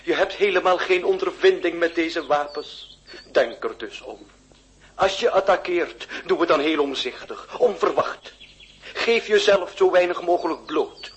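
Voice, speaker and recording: An actor playing a cautious, earnest duelling second who gives deliberate instruction in a period radio play. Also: male voice, a little high, animated, slight background noise. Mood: earnest, warning.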